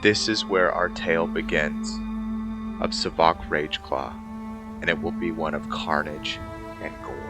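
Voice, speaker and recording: Slow, low-voiced man hosting a game playthrough. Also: steady tone, over loud music. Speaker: game playthrough host and narrator. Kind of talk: dramatic story narration, then informal commentary.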